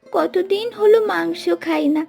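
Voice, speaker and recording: Very high-pitched wistful little girl. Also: unhurried, vocal fry, darkish tone, soft background music, exaggerated voice. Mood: pleading. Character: poor little village girl, wistful and hungry. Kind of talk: cartoon fairy tale voice acting.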